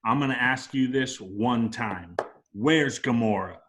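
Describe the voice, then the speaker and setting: A man's voice: mid-range voice, measured pace, background noise, close to an everyday voice. A man playing a brash, threatening space outlaw in an amateur table read of a film script.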